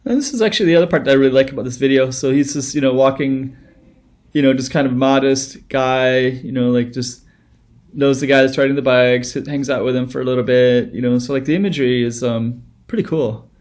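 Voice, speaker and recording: A man in his thirties chatting into a close webcam at home, reacting to a music video. His voice sits at an ordinary middle pitch and his talk wanders, full of "um", "like" and false starts. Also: steady tone, brisk, darkish tone. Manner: rambling, with many fillers and restarts. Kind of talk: casual reaction commentary.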